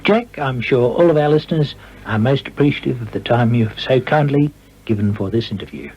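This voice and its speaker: A man with a husky voice interviews a guest on the radio. His phrasing is formal, courteous and measured. The recording is old and muffled. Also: mid-range voice, animated.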